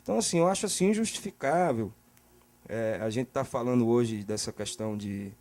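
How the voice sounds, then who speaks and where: A man's voice: mid-range voice, a little fast, darkish tone. A man with a Brazilian accent giving casual, opinionated talk as an interview guest, colloquial and often checking he is understood. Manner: colloquial, repeats words, often checks the listener follows.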